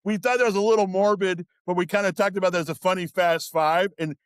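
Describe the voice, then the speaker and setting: Co-host: fifties man, upbeat and jokey, high voice, dry close sound. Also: steady tone, fast talker, smooth, no room sound. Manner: upbeat, joking banter. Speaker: podcast co-host. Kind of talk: casual chat.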